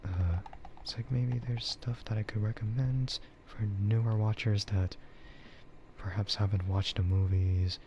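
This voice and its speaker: A man chatting casually in a soft, half-whispered ASMR style, rambling and hesitant as he streams close to the microphone. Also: on the low side, steady tone, measured pace, muffled recording, slight background noise. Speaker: VTuber streamer.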